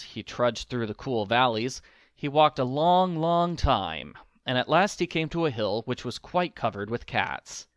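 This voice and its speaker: A man reading a children's storybook aloud in an expressive narrating style. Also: medium pitch, no room sound, studio quality. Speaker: storybook reader.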